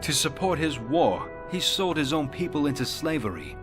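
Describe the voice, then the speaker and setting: Man playing blunt warrior hero, loud music. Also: a little high, sing-song, moderate pace. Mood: accusing. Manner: blunt, confrontational. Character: challenging, principled male warrior hero. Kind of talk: fantasy game protagonist dialogue.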